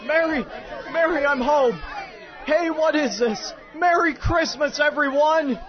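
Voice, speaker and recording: A man's voice: a little high, lively intonation, moderate pace, crowd noise, close to an everyday voice. A man playing a distraught everyman husband and father in old Hollywood-style drama, stammering and pleading as he speaks. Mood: overjoyed. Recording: dull lo-fi audio with loud music.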